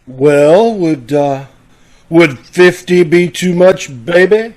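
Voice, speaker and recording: Man doing slow, gushing flirt in radio comedy. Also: high voice, darkish tone. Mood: coy, wheedling. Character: gushing, flirtatious man peddling his used car. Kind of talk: old-time radio comedy acting.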